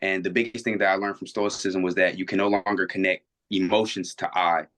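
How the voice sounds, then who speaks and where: A man's voice: sits low, little pitch movement, fairly quick, a bit bright. A man in his twenties, a guest on a video call, talking earnestly and reflectively with many restarts and fillers. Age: 20s. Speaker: interview guest. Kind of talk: reflective casual conversation.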